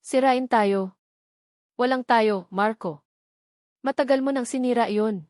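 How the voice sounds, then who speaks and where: Wronged wife for romantic audio drama, played by a woman: anguished, accusing, emphatic, pitched up, very dry recording. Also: a little slow, a little mellow, no room sound, lightly acted. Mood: hurt fury. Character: betrayed, furious wife lashing out at her ex-husband. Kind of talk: Tagalog audio drama acting.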